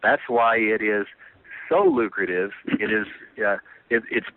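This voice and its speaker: A man's voice: lowish voice, wildly swooping pitch, measured pace, no echo. A man presenting his company's plan on a conference call, earnest and repetitive, with many restarts and fillers. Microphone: telephone. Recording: tinny phone line.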